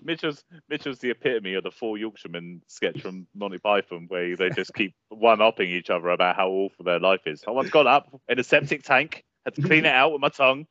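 A man's voice: on the low side, fairly quick, few pauses, sharp tone, no room sound. A man co-hosting a podcast, joking and laughing often in casual banter. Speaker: podcast co-host. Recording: muffled lo-fi podcast audio.

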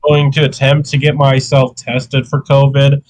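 A man's voice: mid-range voice, completely flat delivery, unhurried, darkish tone. A young man who is sick and coughing, hosting a casual podcast chat in a rambling, profane way. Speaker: podcast host.

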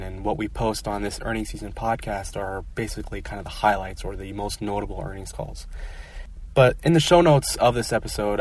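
A man hosting a podcast, giving a casual, earnest, conversational spoken introduction. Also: fairly low pitch. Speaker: podcast host. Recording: slightly distant microphone.

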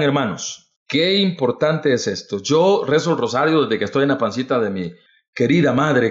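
Fervent man preaching, lively pitch. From El Salvador. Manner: fervent, calls listeners "brother", repeats rhetorical questions. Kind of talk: livestreamed devotional talk. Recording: dull lo-fi recording.

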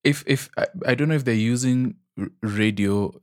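A man's voice: mid-range voice, normal speed, darkish tone, dry recording, clean studio sound. A young man co-hosting a podcast in casual chat, rambling with many ums and restarts and laughing easily. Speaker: podcast co-host.

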